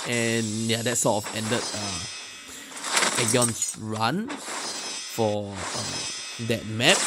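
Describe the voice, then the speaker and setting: A man giving casual gameplay commentary in a hesitant, explanatory way, often pausing mid-sentence. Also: medium pitch, a bit bright. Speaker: gaming video creator. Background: music.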